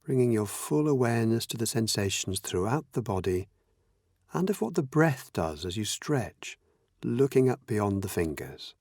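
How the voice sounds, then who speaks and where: A man with a fairly low voice guides a meditation in a close, dry recording. His delivery is gentle and measured, with lively rises and falls in pitch. He leaves long pauses between slow, simple instructions. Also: no echo. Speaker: meditation teacher.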